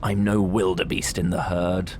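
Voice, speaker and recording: A man's voice: low voice, flat delivery, moderate pace, noisy background, close to an everyday voice. A man playing a snobbish, deluded British detective with a pompous, grandiose way of talking in a comic audio drama. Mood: smug.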